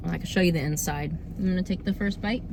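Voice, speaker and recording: A young woman talks to her viewers in an informal, chatty review style. Her voice sits a bit low and is slightly hoarse, as if getting over a cold. Faint background noise runs under the recording. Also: fast. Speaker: host of a cookie review video series. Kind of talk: casual chat food review to camera.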